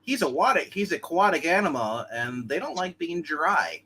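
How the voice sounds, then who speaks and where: A man's voice: on the high side, very animated, moderate pace, pushed and bright, slight background noise. A man playing a tabletop game, chatting and bantering casually with the group.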